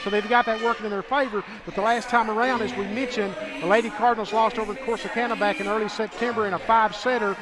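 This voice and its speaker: High-voiced man calling volleyball courtside. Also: fairly even intonation, fast, hardly any pauses. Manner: upbeat, informative, rambling asides. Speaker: play-by-play announcer. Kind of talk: sports broadcast commentary.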